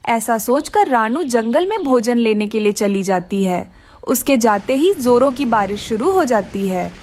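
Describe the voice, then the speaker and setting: High-voiced woman narrating a children's story. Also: animated, unhurried, a little mellow, a little hiss or hum.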